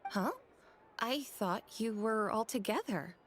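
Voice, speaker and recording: A woman's voice: a little high, lively intonation, takes it slowly, noisy background. A woman playing a polite, helpful young female boutique assistant in a video game.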